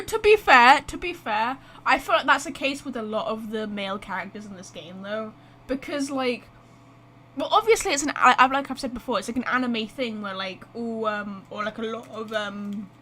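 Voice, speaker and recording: A woman streaming a video game with casual live reactions, talking in a rambling, excitable, blunt way. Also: a little high, moderate pace.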